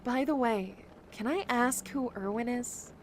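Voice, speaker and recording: A woman playing a polite, mild, curious woman in her twenties in a fan audio drama, speaking in a measured way. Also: on the high side, lightly acted. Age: 20s. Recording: clearly noisy background ambience.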